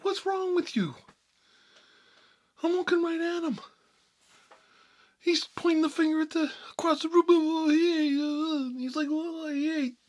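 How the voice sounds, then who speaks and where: A man's voice: very high voice, fairly even intonation, a little slow, long pauses. A man playing a hot-headed, sentimental mob boss in an improvised comic monologue, talking in a rambling, repetitive, colloquial way. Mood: outraged ranting.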